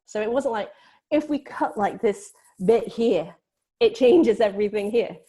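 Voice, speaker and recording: A woman's voice: on the high side, lively intonation, unhurried, dark tone. A woman speaking as a film producer in a casual panel interview, in an informal, loosely phrased way. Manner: informal, hedging with frequent "like".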